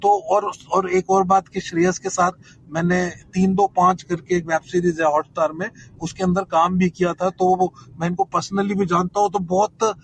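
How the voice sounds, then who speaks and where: High, creaky middle-aged Indian man. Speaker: actor, guest panellist. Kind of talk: casual reflective chat. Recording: noisy online audio.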